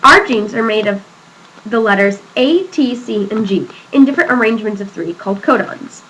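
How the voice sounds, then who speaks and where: A woman's voice: medium pitch, expressive, normal speed, a bit bright, a little hiss or hum, rough recording. A teenage girl hosting a school project skit, explaining cheerfully. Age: teens. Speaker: student interviewer.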